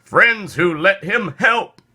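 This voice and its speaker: A man voicing a small, excitable male squirrel in a storybook, squeaky and emphatic. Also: slow pace, exaggerated voice. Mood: worried. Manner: yelping, emphatic.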